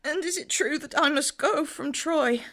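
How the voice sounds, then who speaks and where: A woman's voice: high-pitched, normal speed, a bit bright. A woman playing a grief-stricken young Trojan noblewoman in love, speaking classical verse with theatrical emotion in an audio drama. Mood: disbelieving grief.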